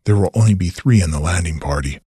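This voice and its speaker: A man's voice: low-pitched, fast, dark tone, slightly rough, dry recording, clean studio sound, pitched below the performer's own voice. A man voicing a domineering, impatient old scientist who leads a space mission in an audiobook, speaking in a curt, commanding, condescending way. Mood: brisk, commanding.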